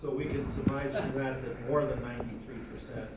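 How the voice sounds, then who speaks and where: A man's voice: mid-range voice, little pitch movement, brisk. Guy in a meeting asking follow-up questions, really echoey room, lots of noise. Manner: probing questions. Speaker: meeting participant. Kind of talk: questioning discussion. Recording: very echoey, distant, loud noise.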